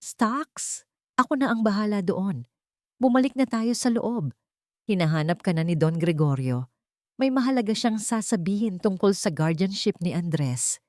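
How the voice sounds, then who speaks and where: A woman's voice: medium pitch, animated, moderate pace, a little mellow, no echo, studio quality, lightly acted. A woman voicing an angry, suspicious, controlling husband who speaks in a demanding, accusing way in an audio story.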